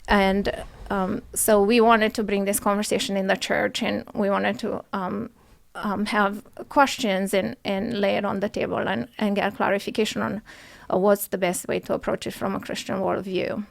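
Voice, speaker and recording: A woman from Romania, in her forties, speaks. She talks softly and hesitantly, filling pauses with ums, and her voice carries a gravelly creak at a medium pitch. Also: little pitch movement. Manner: soft-spoken, many ums, hesitant. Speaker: podcast guest, nurse. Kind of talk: interview answers. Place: studio.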